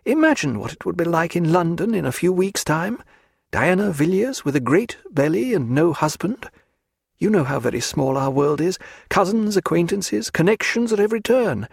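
A man's voice: big pitch swings, moderate pace, vocal fry, husky, dry recording, studio quality, exaggerated voice. A man reading aloud voices a proud, desperate young aristocratic woman who is pregnant and pleads in an upper-class manner. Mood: pleading. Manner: imploring, high-strung, upper-class.

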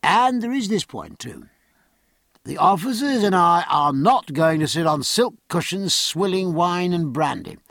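A man's voice: high voice, sing-song, a little slow, creaky, a bit bright, no echo, clean studio sound, pitched above the performer's own voice, full character voice. A man voicing a bold, commanding sea captain in an audiobook, speaking in hearty, emphatic speeches to his crew. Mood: firm, resolute. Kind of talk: audiobook character acting.

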